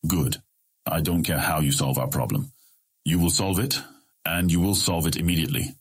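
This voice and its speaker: A man's voice: very deep voice, normal speed, heavily acted. A man voicing a menacing, hot-tempered guild leader in an audiobook, commanding and bitingly sarcastic, with a grating voice. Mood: curt command. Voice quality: gravelly, grating.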